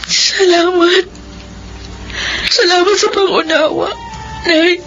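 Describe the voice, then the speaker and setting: A woman's voice: very high-pitched, steady tone, slow, fairly bright tone, full character voice. A woman acting a dutiful, emotional grown daughter home from abroad in a radio drama, with earnest, heartfelt delivery. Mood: tearful gratitude. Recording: dull lo-fi radio drama, music behind.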